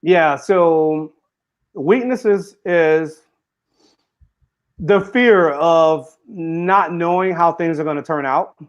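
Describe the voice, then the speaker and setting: A man's voice: fairly high pitch, extremely slow, clean tone, muffled recording. A man giving an earnest interview as a guest author and speaker, running his sentences together with fillers. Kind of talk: casual interview conversation.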